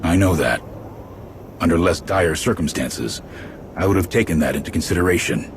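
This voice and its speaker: A man playing a grave, resolute warrior commander in a video game cutscene, speaking in a formal, measured way. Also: low-pitched, expressive, noisy background. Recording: loud music behind. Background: music.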